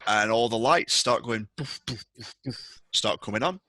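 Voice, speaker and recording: A man's voice: mid-range voice, normal speed, sharp tone, no echo. A man running a tabletop game, improvising narration and calling for rolls as game master.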